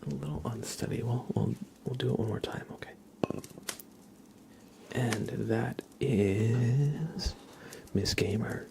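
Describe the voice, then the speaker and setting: A man hosting an ASMR livestream, giving card readings in a gentle, halting way as he thinks aloud. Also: mid-range voice, vocal fry, noisy background. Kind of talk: whispered ASMR, casual tarot card reading.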